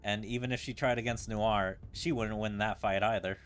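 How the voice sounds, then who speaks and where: A man's voice: on the low side, steady tone, normal speed. Man rambling through casual game commentary. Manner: rambling, thinking out loud. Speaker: gaming streamer. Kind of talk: casual game commentary, reading dialogue aloud. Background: game music.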